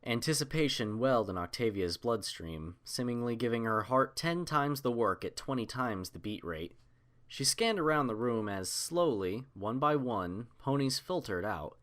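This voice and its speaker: A man's voice: mid-range voice, lively intonation, normal speed, fairly bright tone. A man reading scripted fiction as an audiobook narrator.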